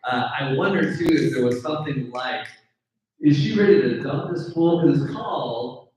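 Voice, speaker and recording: A man's voice: fairly high pitch, normal speed, darkish tone, slight background noise. An American man moderating an informal audience discussion as host. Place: indoor live event room. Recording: echoey room, distant dull mic.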